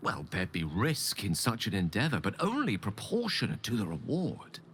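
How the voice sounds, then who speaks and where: A man acting as an eloquent, ambitious wizard in a video game, speaking in theatrical, erudite phrases with dramatic pauses. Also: fairly low pitch, wildly swooping pitch, fairly bright tone, a little hiss or hum. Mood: ambitious, persuasive. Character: ambitious, eloquent male wizard hungry for godlike power. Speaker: game character, wizard companion.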